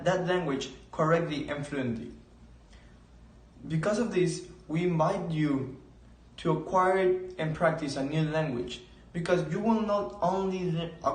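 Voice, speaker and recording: A young man reads an earnest closing statement in English. His voice is somewhat higher than most men's. He sounds distant from the mic, and the room echoes around him. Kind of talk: read conclusion.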